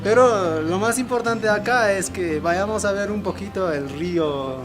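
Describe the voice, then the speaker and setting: A man's voice: high-pitched, unhurried. A man with a Peruvian Andean accent, a native Quechua speaker, explaining things casually as a local guide outdoors. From Peru. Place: outdoors in a valley town. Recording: music laid over the voice.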